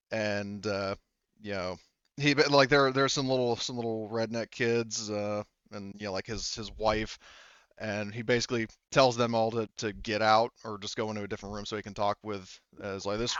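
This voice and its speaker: Halting, smooth-voiced male game master. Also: fairly low pitch, a bit bright, no room sound, lo-fi sound. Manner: halting, rambling, casually profane. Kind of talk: improvised tabletop game narration, casual.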